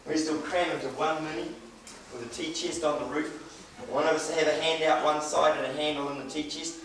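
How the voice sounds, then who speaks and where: A man's voice: a little high, steady tone, a little fast, fairly bright tone, recorded from a distance, slight background noise. A middle-aged man, preaching a sermon and telling a personal story with chuckles. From New Zealand. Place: church hall. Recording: echoey hall recorded from afar.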